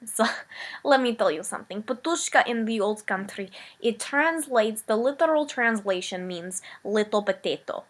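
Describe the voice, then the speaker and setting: A woman playing a rambling immigrant woman from the old country, talking in broken English with a meandering, deadpan comic manner. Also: mid-range voice, moderate pace, natural voice. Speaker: comedic character. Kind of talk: improvised comic character monologue.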